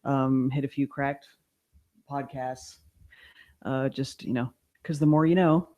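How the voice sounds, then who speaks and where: A man's voice: medium pitch, fairly even intonation, slow, mellow. A man co-hosting a podcast in a laid-back, agreeable, casual conversational style. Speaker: podcast co-host. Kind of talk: casual chat.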